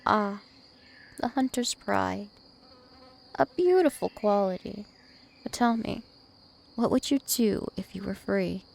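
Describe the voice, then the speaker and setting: Slow, seductive vampire princess, acted by a woman. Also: medium pitch, vocal fry, darkish tone. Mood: calm, probing. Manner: slow, deliberate, teasing and possessive. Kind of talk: ASMR roleplay.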